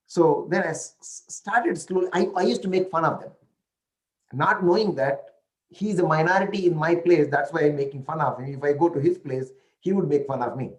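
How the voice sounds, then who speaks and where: A man's voice: on the high side, low-quality recording. Indian-accented man, chatty storyteller. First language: an Indian language. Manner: frequent "right?" and "you know" check-ins, animated when telling stories. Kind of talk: casual storytelling talk.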